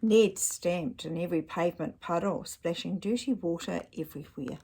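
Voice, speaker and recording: A woman's voice: lowish voice, normal speed, warm tone, slightly rough, poor audio. A woman reading a children's picture book aloud expressively, with chatty asides and questions to young listeners.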